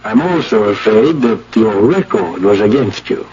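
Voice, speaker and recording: An actor playing a placating, apologetic senior scientist who runs an institute, speaking hesitantly and tactfully in radio drama style. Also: male voice, on the low side, lively intonation, darkish tone. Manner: hesitant, measured, tactful delivery. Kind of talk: old-time radio drama acting. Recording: dull, noisy vintage radio broadcast.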